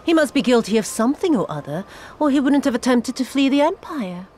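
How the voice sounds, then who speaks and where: High, swooping, cruel villainess. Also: female voice, expressive, dark tone, faint music behind. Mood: cold, mocking. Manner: mocking, theatrical, drawn-out delivery. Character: cruel, sadistic female imperial official toying with captives. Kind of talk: video game voice acting.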